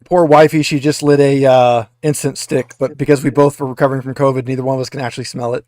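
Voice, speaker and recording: A man narrating a tabletop roleplaying game as game master, in a casual, halting conversational way. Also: medium pitch, level tone, a little mellow, no echo, clean studio sound.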